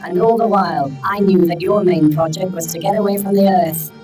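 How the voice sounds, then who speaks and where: A man's voice: sits high, steady tone, brisk, darkish tone, faint music behind, voice pushed up, lightly acted. A man voicing a talking handbag that scolds everyone in a lofty, reproachful tone in an audiobook. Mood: stern.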